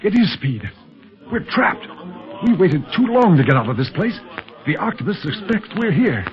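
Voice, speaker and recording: An actor playing a calm, protective American secret police agent in a 1930s radio adventure serial, speaking with earnest authority in a husky voice. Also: male voice, sits high, normal speed, soft background music. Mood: urgent, tense. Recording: muffled, scratchy 1930s radio recording.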